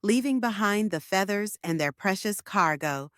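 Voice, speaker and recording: A woman's voice: mid-range voice, lively intonation, moderate pace, fairly bright tone, dry recording, clean studio sound. A woman reading a scripted academic passage aloud as a model for test practice. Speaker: test prep model reader.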